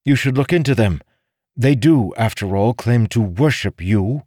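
A man's voice: medium pitch, lively intonation, unhurried, a little mellow, breathy edge, dry recording, clean studio sound, pitched above the performer's own voice. A man voicing a commanding, cool noblewoman in a fantasy audiobook, with a curt, imperious manner. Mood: matter-of-fact.

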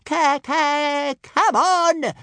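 A man voicing an excitable, indignant little male dragon who stammers and talks about himself by name, in an audiobook story. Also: on the high side, takes it slowly, no echo, clean studio sound, pitched above the performer's own voice. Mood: bawling, indignant.

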